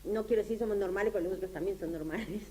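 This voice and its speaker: A woman lecturing informally, often repeating herself and checking that her listeners follow. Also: dark tone. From Latin America. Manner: halting, repetitive, checks that listeners understand. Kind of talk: informal lecture with audience questions. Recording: clear background noise, low hum.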